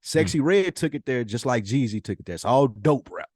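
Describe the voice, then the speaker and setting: A man co-hosting a casual chat podcast, animated and opinionated, often slipping into quoted voices. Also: medium pitch, fairly quick, no echo, clean studio sound. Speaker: podcast co-host.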